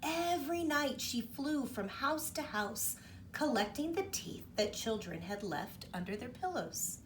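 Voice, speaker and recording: A woman's voice: high voice, unhurried, recorded from a distance, a little hiss or hum. A woman teacher reading a storybook aloud to children, stopping often to ask them questions. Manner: expressive, asks the children questions. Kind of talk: storybook read-aloud for kids.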